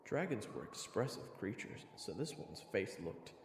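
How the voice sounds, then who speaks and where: A brash, wry adventurer, acted by a man tells his own fantasy story with sarcastic asides. His low, gravelly voice leaps around with great animation and sits in a reverberant, echoey sound with music underneath. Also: big pitch swings, normal speed, noisy background. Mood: observant, wry. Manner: informal, wry, exclamatory. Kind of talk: first-person story narration.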